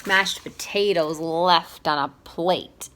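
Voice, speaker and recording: Woman voicing a bright, earnest storybook owl. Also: on the low side, takes it slowly, slight background noise. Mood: sobbing sadly. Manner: earnest, dramatic exclamations. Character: silly, earnest, sentimental male owl.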